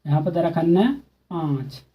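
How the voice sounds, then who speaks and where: A man's voice: a little high, a little slow, muffled recording. A man teaching a school maths exercise, speaking casually and repeating steps while asking students questions. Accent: Hindi. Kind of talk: explaining a textbook math exercise.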